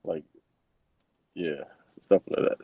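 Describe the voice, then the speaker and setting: A man's voice: slow pace, no room sound. A man, giving casual, somewhat hesitant interview answers. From Bahamas. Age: 20s. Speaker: interview guest, college football player. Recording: dull lo-fi close microphone.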